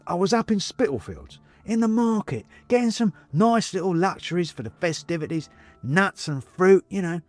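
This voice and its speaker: A man's voice: high-pitched, big pitch swings, normal speed, dry recording, faint music behind, pitched above the performer's own voice. A man voicing a chatty, old-fashioned china figure in a storybook, folksy and savouring his memories. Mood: reminiscing. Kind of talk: storybook character voice in audiobook.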